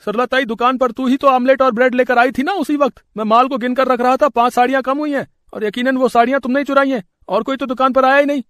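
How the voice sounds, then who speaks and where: A man voicing a sly, fawning shop servant in an animated moral story, hesitant and full of excuses. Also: very high voice, fast talker, few pauses, dry recording, studio quality, pitched above the performer's own voice. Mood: accusing.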